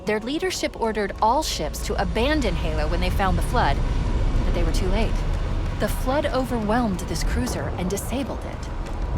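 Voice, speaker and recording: A woman playing a quick-witted, caring artificial intelligence in a video game, articulate and expressive. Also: medium pitch, lightly acted. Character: quick-witted female ship AI, urgent, brainy, caring. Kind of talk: video game cutscene acting.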